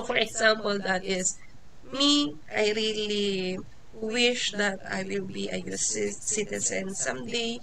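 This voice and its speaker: Slow, halting Filipino woman teaching. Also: mid-range voice, vocal fry, rough recording. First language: Tagalog. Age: 40s. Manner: hesitant, many fillers and restarts, laughs often. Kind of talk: informal lecture.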